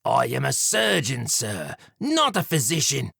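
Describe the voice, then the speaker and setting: A man's voice: medium pitch, huge pitch swings, measured pace, creaky, pushed and bright, breathy edge, dry recording, clean studio sound, voice pushed up, full character voice. A man voicing a proud demon surgeon in an audiobook, formal and pleading. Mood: indignant. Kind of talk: audiobook character acting.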